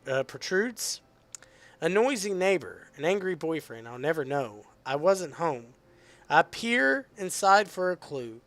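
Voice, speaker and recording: A hoarse man reading the lines of a poised teenage girl who leads a literature club in a visual novel. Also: a little high, fairly bright tone, no echo, heavily acted. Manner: reads visual novel dialogue aloud.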